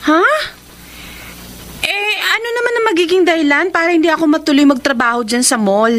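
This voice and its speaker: A woman's voice: high voice, fairly quick, a bit bright. An actress playing a cheerful, eager young provincial woman in a Filipino radio drama. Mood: chatty. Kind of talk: Filipino radio drama acting and letter narration. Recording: radio drama with music underneath.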